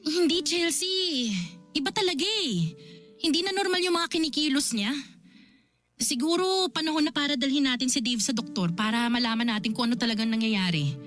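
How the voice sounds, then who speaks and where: Casting: exasperated, caring older sister in Filipino radio drama. High voice, emphatic, faint music behind. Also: female voice, a little fast, fairly bright tone. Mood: serious, frustrated. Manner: scolding, emphatic, nagging. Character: exasperated responsible older sister and doting aunt.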